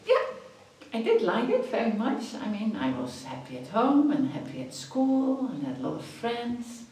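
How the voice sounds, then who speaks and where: A woman's voice: a little high, huge pitch swings, moderate pace, dark tone, very echoey, far from the mic, a little hiss or hum. A Dutch woman in her fifties, chatty, answering interview questions and often restarting her phrases. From Netherlands. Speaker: after-school activities coordinator.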